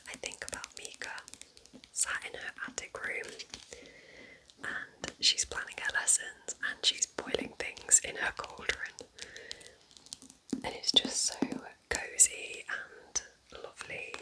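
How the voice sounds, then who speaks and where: Soft breathy whisper, ASMR book recommender. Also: rough recording. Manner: soft, unhurried, gently enthusiastic. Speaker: ASMR host recommending books.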